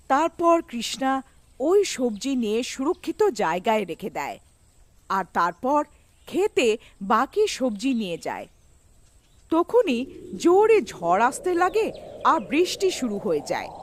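A woman's voice: fairly high pitch, dry recording. A woman narrating a scripted cartoon story as its storyteller.

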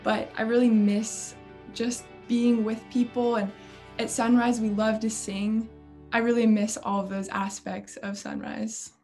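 Brief: high school girl, chatty and candid, light music under. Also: flat delivery, a little slow, darkish tone. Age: teens. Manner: casual, candid, filler "like". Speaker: high school climate activist. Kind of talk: documentary interview.

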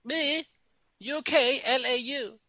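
A man's voice: extremely high pitch, slow, pushed and bright, no echo, voice pushed up, heavily acted. A man voicing an irate woman restaurant owner who speaks broken English and stammers out insults. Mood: insistent. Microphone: phone call.

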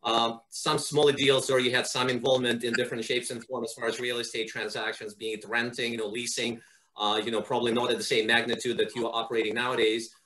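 A man's voice: mid-range voice, little pitch movement, quick pace, pushed and bright, low-quality recording. A middle-aged man hosting an interview over a video call, asking long, wordy questions and praising his guest. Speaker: interview host. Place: home office indoors.

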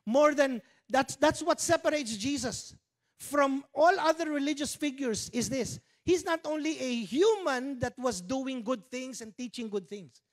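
Filipino pastor with a handheld mic. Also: male voice, very high-pitched, unhurried. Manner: conversational, mixing in Tagalog asides and jokes. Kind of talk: sermon. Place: church stage with dark curtains.

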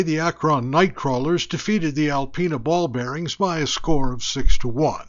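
A man playing a straight-faced radio sportscaster reading a deadpan parody bulletin. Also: on the high side, close to an everyday voice. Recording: dull lo-fi radio sound.

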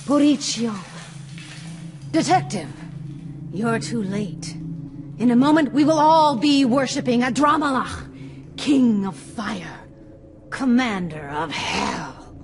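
A woman playing an intense, theatrical middle-aged spirit medium, solemn and dramatic, in a video game cutscene. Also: a little high. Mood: ominous, entranced. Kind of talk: live-action video game cutscene acting. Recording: clearly noisy backdrop.

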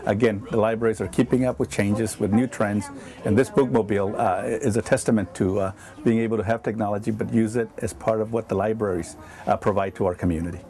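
A man's voice: on the low side, steady tone, fast talker, warm tone. A man in his fifties speaking formally in an interview inside a busy bookmobile.

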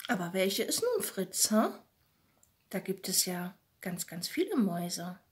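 A middle-aged woman gently reading a bedtime story for children, speaking softly and directly to her little listeners. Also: medium pitch, sing-song, unhurried. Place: small room at home. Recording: roomy, echoey home recording.

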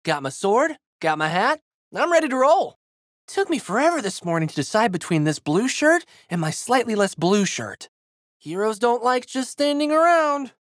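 A man's voice: very high-pitched, very animated, moderate pace, a bit bright, no echo, studio quality, full character voice. A man voicing a plucky, goofy teenage boy hero with slangy, exuberant delivery for a video game. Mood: upbeat, chatty. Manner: exuberant, slangy surfer-dude boyishness. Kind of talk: video game character voice lines.

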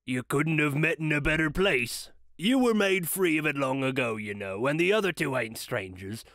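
A man's voice: fairly high pitch, animated, moderate pace, fairly bright tone, no room sound, clean studio sound. Man doing a sly old junk-shop rascal.

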